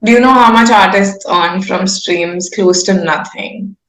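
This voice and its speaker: A woman's voice: medium pitch, measured pace, a bit bright. A woman, talking candidly and effusively in a casual, informal interview style. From India. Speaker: singer-songwriter guest. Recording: roomy, echoing sound.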